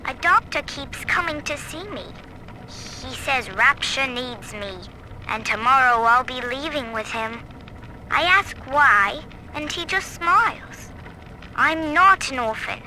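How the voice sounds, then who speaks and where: A woman's voice: high voice, lively intonation, slow, bright, forceful tone, heavily acted. An actress playing a lonely but defiant young girl, confiding dreamily in her diary in a game. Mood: lonely, wistful. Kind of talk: secret audio-diary confession. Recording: noisy, hissy vintage audio log.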